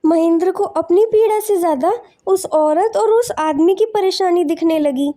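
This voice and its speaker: A woman's voice: extremely high pitch, moderate pace, dark tone. A woman narrating a scripted moral tale in storybook style.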